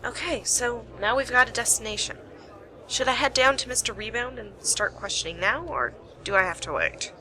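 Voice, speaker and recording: A woman's voice: on the high side, expressive, moderate pace, sharp tone, low-quality recording. A woman playing a wry, determined young private investigator in an amateur radio play. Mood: wry, brisk.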